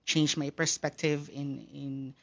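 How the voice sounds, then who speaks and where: A man's voice: on the high side, little pitch movement, creaky, dull audio. Hesitant man from the Philippines. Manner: halting, many fillers. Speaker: high school English teacher. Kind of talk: radio interview guest.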